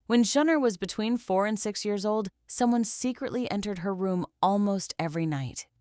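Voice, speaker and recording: A woman voicing a gentle, clever teenage girl in a fantasy audiobook, calm and quietly teasing. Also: medium pitch, moderate pace, no echo, clean studio sound, lightly acted. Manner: soft, calm, composed. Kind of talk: audiobook fantasy character dialogue.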